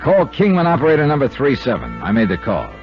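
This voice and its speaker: Casting: hard-boiled insurance investigator, mid-pitched, dogged, clipped and animated; old radio serial. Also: male voice, fast talker, soft background music. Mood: brisk, easygoing. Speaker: radio actor, lead and narrator. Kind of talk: 1950s radio detective drama, acting and first-person narration. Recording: dull vintage radio recording.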